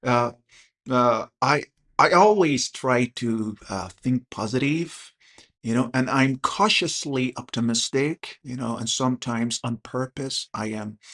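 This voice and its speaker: A man's voice: mid-range voice, measured pace, fairly bright tone. A man in his thirties, an English teacher hosting a casual podcast chat, who talks hesitantly with many fillers and restarts. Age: 30s. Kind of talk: casual conversation.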